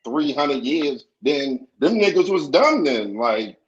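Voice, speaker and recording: A man argues his points in loose, slangy talk during a heated informal debate. His voice is husky and swings up and down a lot, heard through a dull, lo-fi connection. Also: on the high side, sing-song, slow. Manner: argumentative, slangy.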